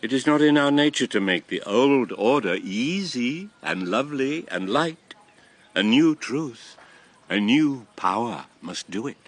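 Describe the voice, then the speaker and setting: A man's voice: mid-range voice, expressive, unhurried. An actor playing an old former king and grandfather, a solemn, impassioned convert to a god, in a classical radio drama. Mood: solemn urging. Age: old, past retirement. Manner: solemn, impassioned, theatrical delivery.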